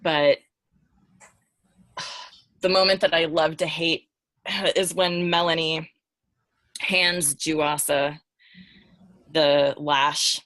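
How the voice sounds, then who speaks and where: A woman's voice: deep voice, fairly even intonation, extremely slow, long pauses, fairly bright tone, no room sound. A woman talking informally as a podcast panelist, thinking aloud and searching for her words as she goes. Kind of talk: casual unscripted discussion.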